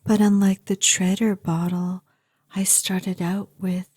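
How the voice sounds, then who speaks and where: An obsessive vampire girlfriend, played by a woman, murmurs in a very slow, breathy voice with a mellow tone. She is intimate and sweetly menacing, slipping often into whispers, in the style of an ASMR roleplay. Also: medium pitch, level tone. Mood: casual, musing. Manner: slow, intimate, casually morbid, doting. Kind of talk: ASMR audio roleplay addressed to the listener.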